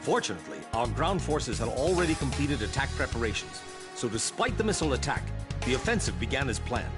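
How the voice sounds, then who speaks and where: An actor playing a self-important staff advisor, giving a formal military briefing in a video game. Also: male voice, mid-range voice, sing-song, a little fast, music in the background, close to an everyday voice. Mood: officious, urgent. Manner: formal, officious.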